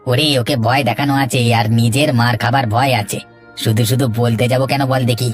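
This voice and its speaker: Cartoon village thief lad, male actor: cocky schemer, rapid brash patter. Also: mid-range voice, steady tone, close to an everyday voice. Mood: boastful. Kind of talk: cartoon dialogue acting. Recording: faint music behind.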